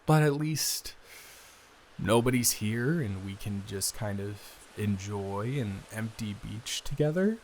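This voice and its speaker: A man's voice: on the low side, expressive, slow pace, darkish tone, voice pushed down. Man playing a musing, hesitant eldest brother. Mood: casual, relaxed. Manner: conversational, hesitant, rambling. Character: responsible eldest son, friend's brother, musing and wry. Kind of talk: improvised intimate audio roleplay.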